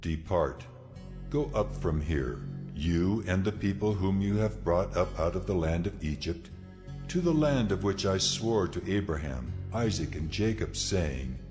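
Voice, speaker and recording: A man playing God as a stern, commanding deity, solemn and measured, in a dramatized audio Bible. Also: low-pitched. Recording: music behind the voice.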